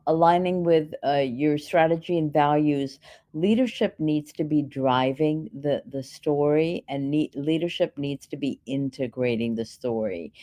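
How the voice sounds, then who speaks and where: Low-voiced woman explaining things step by step. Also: dark tone. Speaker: keynote speaker answering audience questions. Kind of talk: explanatory conference Q&A.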